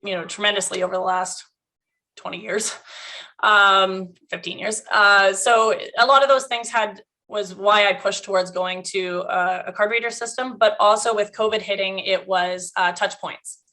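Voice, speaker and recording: A woman's voice: mid-range voice, fairly even intonation, moderate pace, pushed and bright. A woman business owner chatting on a video call, her voice slightly worn, restarting sentences and laughing often. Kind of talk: casual interview chat.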